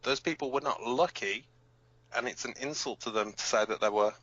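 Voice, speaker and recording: A man's voice: medium pitch, fairly quick, pushed and bright, dry recording, dull audio. A man playing a hot-headed, protective resistance fighter who hosts a rebel radio broadcast in an audio drama, blunt, emphatic and given to swearing. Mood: indignant, heated.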